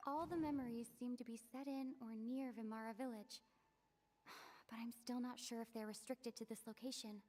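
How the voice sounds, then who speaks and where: A woman's voice: a little high, steady tone, a little mellow, close to an everyday voice. Woman playing a pensive young traveler, reverberant. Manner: measured, pensive, reasoning aloud. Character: thoughtful female traveler, young, calm and analytical. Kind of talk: video game character dialogue.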